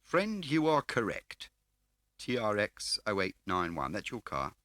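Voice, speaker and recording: A theatrical, high-pitched shifty fat dealer. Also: male voice, wildly swooping pitch, unhurried, pushed and bright, no room sound, full character voice. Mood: sly, florid. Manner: theatrical, wheedling mock-grandiose speech. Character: fat, boisterous, florid, shifty male car dealer. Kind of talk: audiobook character voice.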